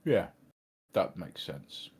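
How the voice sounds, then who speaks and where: A man's voice: slow, a little mellow. A man playing a tabletop roleplaying game, speaking in character in a laconic, understated, hesitant way.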